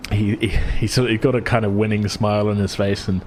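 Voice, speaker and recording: A man's voice: fairly low pitch, level tone, brisk, a little mellow, slight background noise. A man acting as game master, improvising descriptive narration for a tabletop game in a hesitant, searching way.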